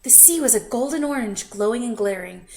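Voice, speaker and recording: A woman reading a children's picture book aloud expressively, with questioning asides to young listeners and drawn-out emphasis. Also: a little high, animated, creaky, mellow. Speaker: children's story reader.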